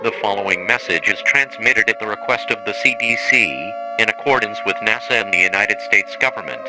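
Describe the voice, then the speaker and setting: A man's voice: on the low side, fairly quick, background noise, close to an everyday voice. Formal emergency alert announcer voiced by a man. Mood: grave, official. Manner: formal, impersonal. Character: emergency alert system announcer, flat official adult male. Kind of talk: scripted emergency broadcast announcement.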